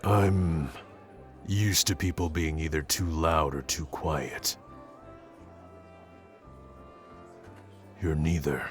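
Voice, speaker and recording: A man playing a gruff, awkward young tsundere prince who speaks haltingly and grudgingly in an intimate roleplay audio addressed to the listener. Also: rumbling low, steady tone. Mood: guarded, musing. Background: background music.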